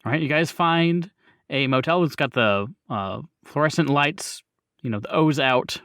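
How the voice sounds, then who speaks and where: A man's voice: fairly high pitch, unhurried, a bit bright, smooth, dry recording, clean studio sound. A man acting as game master, improvising the narration of a tabletop role-playing game.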